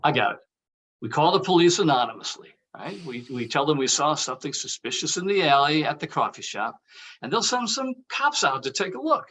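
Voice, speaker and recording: A man's voice: on the high side, big pitch swings, a little fast, a bit bright, breathy edge, exaggerated voice. An actor playing an anxious, sardonic older man in a comedy table read, talking in a dry, hesitant, conversational way. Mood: nervous, hesitant.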